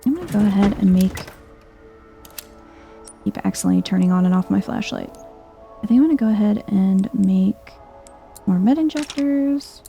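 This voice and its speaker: Woman, soft-spoken, mellow dark tone, creaky fry, unhurried, chill game commentary, music behind. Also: mid-range voice. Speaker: gaming video host.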